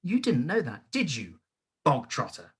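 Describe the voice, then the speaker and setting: A storyteller voicing a cruel, bullying headmistress who booms and barks insults at a child. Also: huge pitch swings, unhurried, breathy edge, exaggerated voice. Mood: sneeringly sweet. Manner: booming, barking insults, mock-sweet when menacing. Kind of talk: audiobook character voice.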